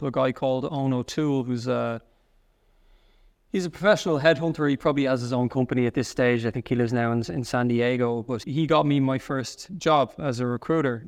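A man in his thirties with a soft Irish accent, talking earnestly in an interview, often restarting and using "like". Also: mid-range voice, in a large hall. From Ireland. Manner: earnest, quick, with restarts and "like" fillers. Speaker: guest. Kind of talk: conversational interview.

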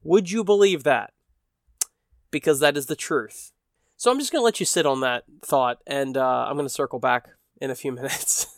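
A man's voice: medium pitch, moderate pace, dry recording, studio quality. A man hosting a casual solo podcast, chatting in a rambling, self-deprecating way while sounding a bit stuffy from allergies. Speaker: podcast host.

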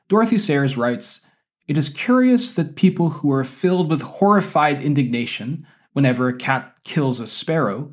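A man hosting a podcast with measured, articulate phrasing, in the style of an educator presenting and interviewing. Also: mid-range voice. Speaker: podcast host; university teacher. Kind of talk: scripted podcast introduction, then conversational interviewing.

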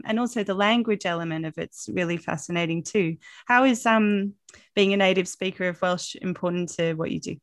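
A woman asks questions as an interviewer. Her voice is on the lower side for a woman, and her manner is soft and encouraging, with fillers between her words. The recording is dry and close. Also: no echo.

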